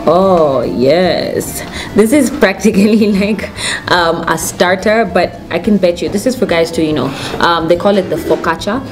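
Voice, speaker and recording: A woman's voice: lowish voice, unhurried. A young adult woman with a Kenyan accent, casually chatting as a food vlog host in an informal way. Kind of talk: casual restaurant review chat to camera. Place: indoors at a restaurant table. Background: music.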